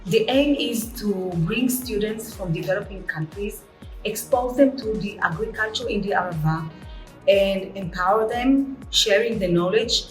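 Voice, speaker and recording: A woman, the director and founder of a training center, presenting a guided tour in measured, slightly English. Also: medium pitch. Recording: echoey room with music underneath.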